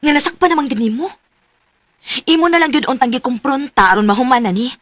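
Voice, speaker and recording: A woman's voice: high voice, expressive, fast, fairly bright tone, no echo. An actress playing a frank, protective woman confidante in a radio drama, speaking insistently with a Cebuano Filipino accent. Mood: exasperated. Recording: faintly noisy radio drama track.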